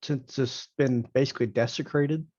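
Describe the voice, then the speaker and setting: A man's voice: mid-range voice, steady tone, normal speed, darkish tone, dry recording, highs cut off. A man talking casually as a player in a tabletop role-playing game.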